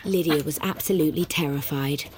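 An actress playing a sly, malicious inner voice, narrating in a British accent with measured, deadpan control. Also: female voice, low voice, slight background noise, natural voice. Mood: coolly gleeful. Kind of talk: scripted first-person drama narration. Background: music.

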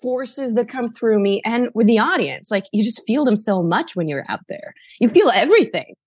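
A woman's voice: a little high, animated, moderate pace, a little mellow, breathy edge, no echo, studio quality. A middle-aged woman from Slovenia with a Slovenian accent, speaking conversationally as an interview guest. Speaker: interview guest, actor and playwright.

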